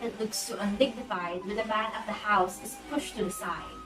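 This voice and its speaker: A woman's voice: mid-range voice, fairly even intonation, normal speed, recorded from a distance, over music, close to an everyday voice. A woman playing a snobbish, scheming society hostess in an amateur play, theatrical and imperious. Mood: brisk, calculating. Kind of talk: amateur stage-play acting.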